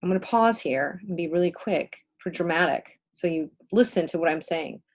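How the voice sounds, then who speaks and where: A woman whose first language is Spanish, co-hosting a casual spiritual livestream and giving readings in an emphatic, conversational way with pauses for effect. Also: on the low side. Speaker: co-host of a spiritual healing livestream.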